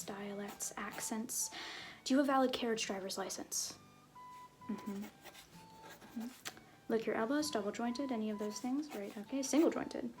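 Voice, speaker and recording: A woman playing an easygoing, slyly flirtatious circus fool in an ASMR roleplay, talking softly and hesitantly, often trailing into whispers. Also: fairly high pitch, close to an everyday voice. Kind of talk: ASMR roleplay, improvised-sounding casual talk.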